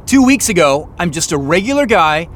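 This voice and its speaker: A man's voice: sits high, fairly bright tone, slight background noise, heavily acted. A man acting in an audio drama as a stressed, suspicious, sarcastic man on the run. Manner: sarcastic, agitated. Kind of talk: audio drama acting with first-person narration.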